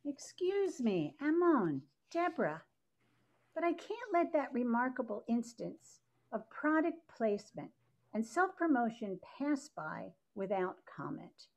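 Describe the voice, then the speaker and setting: Slow, animated older woman commentator. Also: fairly high pitch. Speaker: narrator and commentator. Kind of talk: scripted scholarly commentary. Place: living room at home, indoors. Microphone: video call microphone.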